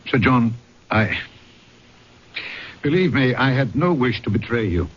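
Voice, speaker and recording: Earnest magistrate, wildly expressive, muffled. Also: male voice, mid-range voice, breathy edge. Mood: apologetic, defensive. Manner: formal, emotive, halting. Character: earnest, apologetic police magistrate, shaken and horrified, dramatic storyteller. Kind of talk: old-time radio drama acting. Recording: muffled old radio recording with loud music.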